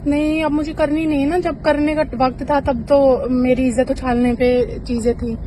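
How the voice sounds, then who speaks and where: High-voiced woman, accusing and insistent, heard over a phone call. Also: little pitch movement, moderate pace, mellow, slight background noise. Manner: insistent, accusing, repeats her points. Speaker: party in a leaked phone call. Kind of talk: heated phone argument.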